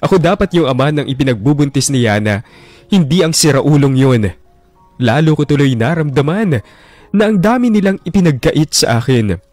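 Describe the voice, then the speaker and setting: A man's voice: fairly high pitch, expressive, normal speed, darkish tone, dry recording, faint music behind, lightly acted. An actor narrating in first person as a jealous young man, bitter and resentful, in a radio drama. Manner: bitter, resentful inner monologue. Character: jealous young man brooding over his ex.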